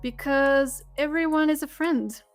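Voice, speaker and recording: High-voiced woman explaining, light laughter. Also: measured pace, faint music behind. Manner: lively explainer, laughs while telling anecdotes. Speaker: Swedish teacher, guest. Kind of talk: casual podcast interview.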